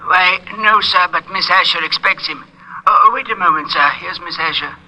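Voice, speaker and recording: An actor playing a polite, formal, deferential butler in an old-time radio drama. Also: male voice, high-pitched, moderate pace, sharp tone. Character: formal, deferential male butler answering the telephone. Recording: faintly noisy old radio recording.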